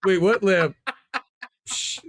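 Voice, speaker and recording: A man's voice: very high voice, dry recording. A man co-hosting in a casual chat, with a playful, teasing manner. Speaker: co-host.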